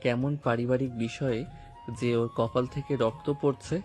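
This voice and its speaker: A man's voice: mid-range voice, fairly even intonation, moderate pace, a little mellow, faint music behind, close to an everyday voice. A man playing an angry, protective young husband and police officer, commanding and confrontational, in an audio romance drama.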